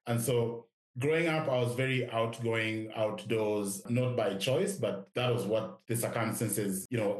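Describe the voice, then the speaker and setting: A middle-aged man with a Kenyan accent, talking reflectively as an interview guest. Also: lowish voice. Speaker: podcast guest, investment director. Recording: roomy, echoing sound.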